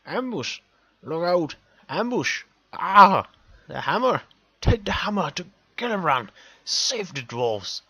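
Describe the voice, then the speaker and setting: A man voicing a dying old dwarf warrior from a fantasy storybook, delirious and imploring in a strained, halting, exclamatory way. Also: a little high, lively intonation, fairly bright tone, no echo, full character voice. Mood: frantic shouting. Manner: halting, imploring, repeating himself.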